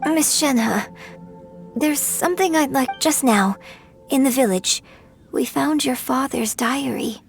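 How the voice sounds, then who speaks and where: A woman voicing a refined, gentle young opera performer in a video game, polite, measured and earnest. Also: high-pitched, gravelly, faint music behind, full character voice. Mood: earnest, encouraging.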